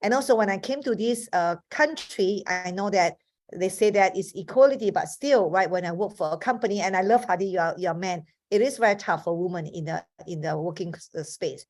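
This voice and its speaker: A woman's voice: on the low side, a little fast. A woman in her fifties from Malaysia, a native Chinese speaker with a Malaysian accent, giving an earnest motivational talk on a video call with frequent restarts. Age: 50s. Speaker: webinar speaker.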